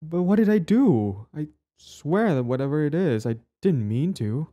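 A man's voice: a little high, very animated, measured pace, dark tone. A young man acting a cocky, possessive and smitten bully in an audio roleplay spoken to the listener. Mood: flustered, pleading. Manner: stammering, swaggering, profane.

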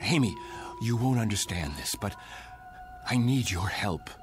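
An actor playing a bewildered, rattled Air Force colonel in a radio drama. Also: male voice, on the low side, animated, a little slow, faint music behind. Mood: urgent. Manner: bewildered, pleading, flares into anger.

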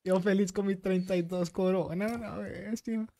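A young Guatemalan man with a Guatemalan Spanish accent, streaming a game and chatting casually with loud, excited outbursts. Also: high-pitched, a little slow, slight background noise. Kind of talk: casual gaming chat.

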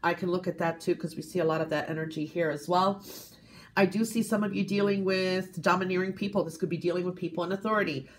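Lady doing a coffee cup reading, kinda low voice, stuffy from a cold, faint hiss behind. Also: fairly even intonation, fast. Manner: gentle, repeats "okay?" and "um". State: congested, sniffling from a cold. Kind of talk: casual tarot-style reading to camera.

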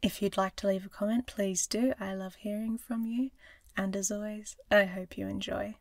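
A woman giving a soft scripted introduction as the creator of an audio series. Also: mid-range voice, steady tone, normal speed, creaky, no room sound.

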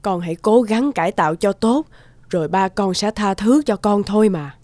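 An actress playing a gentle, forgiving older wife and mother in an animated drama. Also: mid-range voice, moderate pace, no echo, close to an everyday voice. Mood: soothing. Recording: loud piano music under dialogue.

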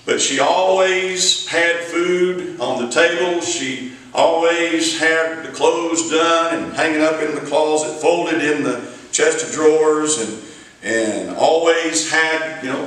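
A man's voice: fairly high pitch, fairly bright tone. A middle-aged man with a Southern US accent, an evangelist preaching an evening church sermon in a folksy storytelling way, stretching out his phrases, recorded in a small church sanctuary from a distance. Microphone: pulpit mic from a distant camera. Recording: echoey church room, mic at a distance.

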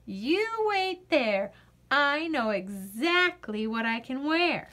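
A stubborn little girl from a children's storybook, voiced by a woman, fussy and emphatic in her objections. Her voice sits fairly high and she takes her time over the words. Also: sing-song, slow pace, sharp tone, slight background noise, exaggerated voice. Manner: emphatic, matter-of-fact objections. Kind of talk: children's storybook read-aloud.

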